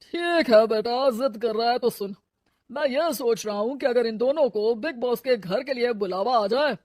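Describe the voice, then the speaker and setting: A woman's voice: a little high, moderate pace, darkish tone, dry recording, pitched below the performer's own voice, lightly acted. Woman playing an easygoing, scheming older father.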